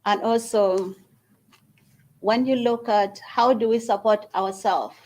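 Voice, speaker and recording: A woman from Uganda, the founder of a small charity, gives an earnest speech at an event and makes an appeal with long pauses. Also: mid-range voice, fairly even intonation, a little mellow, poor audio. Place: event hall at a university.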